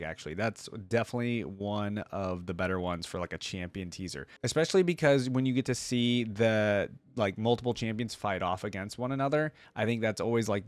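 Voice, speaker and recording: A man's voice: mid-range voice, no room sound. A man hosting a reaction video, thinking aloud in casual, informal commentary. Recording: music playing behind the voice.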